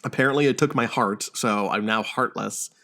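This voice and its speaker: A man's voice: fairly low pitch, moderate pace, sharp tone. A man hosting a podcast, chatting casually in a wry, joking, mildly profane way. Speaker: podcast host. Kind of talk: casual chat about news and video games.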